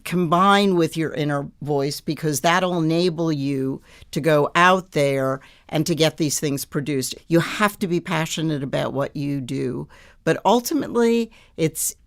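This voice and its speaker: Low-voiced woman, earnest and emphatic. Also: measured pace. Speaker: producer guest. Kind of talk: conversational panel interview.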